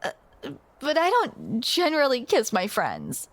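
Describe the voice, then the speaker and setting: Slow, high-voiced teasing young woman. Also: wildly swooping pitch, dry recording. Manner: playful, teasing banter. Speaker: audio roleplay performer. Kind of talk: scripted romantic roleplay spoken to the listener.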